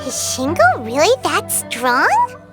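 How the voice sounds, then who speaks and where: A very high-pitched little fairy companion from a video game. The delivery is childlike and curious, swooping widely in pitch at an unhurried pace, with prominent music underneath. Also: female voice, huge pitch swings, full character voice. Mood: eager, curious.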